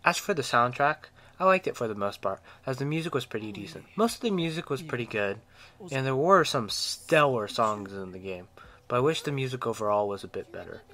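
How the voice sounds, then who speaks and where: A man's voice: medium pitch, lively intonation, fairly quick, bright, forceful tone. A man reading a scripted video game review as an enthusiastic, opinionated fan.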